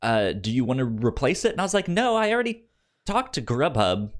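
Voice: medium pitch, very animated, brisk. Stuttering podcast co-host telling a casual anecdote. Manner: stutters, repeating sounds and words; informal storytelling with quoted dialogue.

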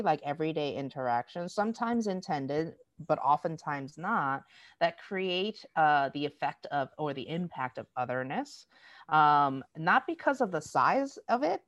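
An American woman speaking in an interview, explaining things in an animated, storytelling way and acting out quoted dialogue. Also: low voice, no echo. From United States. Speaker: diversity educator. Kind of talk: conversational interview.

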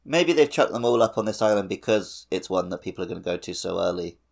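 A man's voice: deep voice, animated, fast talker, vocal fry. A man chatting casually about a video game as a podcast co-host.

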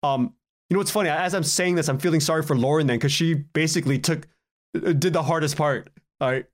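A man speaking as a guest in a casual podcast interview, telling anecdotes in an animated way and acting out bits of dialogue. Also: on the high side, brisk. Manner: animated storytelling, acts out quoted exchanges, chuckles often. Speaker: guest, audio drama writer and director.

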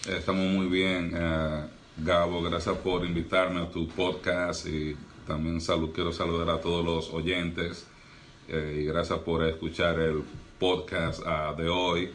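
A man's voice: low voice, little pitch movement, a little slow, a bit bright, a little hiss or hum. A young man from the Republic, a doctor and pastor telling his life story earnestly in a podcast interview. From Dominican Republic. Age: young adult. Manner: earnest, reflective, uses fillers like eh.

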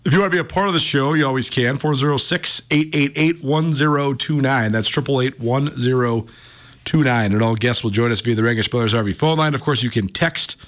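A man's voice: mid-range voice, fairly quick. An American man hosting a sports talk radio show.

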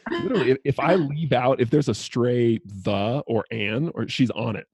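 A man hosting a podcast and chatting casually, with a husky, creaky voice. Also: mid-range voice, normal speed, darkish tone. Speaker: podcast host. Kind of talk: casual chat.

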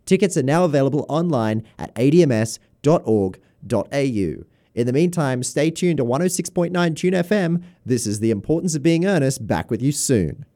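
A man's voice: medium pitch, expressive, normal speed, hardly any pauses, dark tone. A man reading scripted promos as a community radio announcer.

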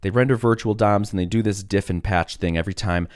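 A man hosting a casual solo podcast, sick with a cough that has deepened his voice, rambling with many fillers and restarts. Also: fast, dry recording, studio quality. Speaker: podcast host.

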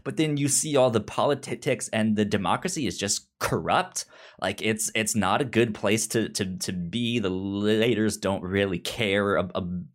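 Halting, stammering man chatting casually. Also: on the low side. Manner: halting, frequent stammered repetition of sounds and words. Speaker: podcast co-host. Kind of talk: casual book discussion chat.

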